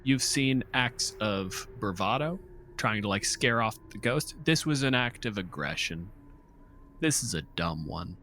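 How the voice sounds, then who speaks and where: A man's voice: lowish voice, animated, unhurried, pushed and bright, slight background noise. A man running a tabletop game as game master, narrating in a conversational, joking way.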